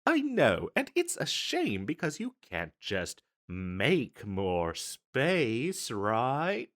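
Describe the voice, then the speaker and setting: Man acting an animated, boastful wizard salesman. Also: mid-range voice, very slow, full character voice. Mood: pitching, enthusiastic. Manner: salesman-like, flustered stammering, dramatic emphasis. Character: eager, boastful male wizard salesman hawking magical wares. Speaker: street vendor character in an audio roleplay. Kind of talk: theatrical comedic fantasy audio roleplay.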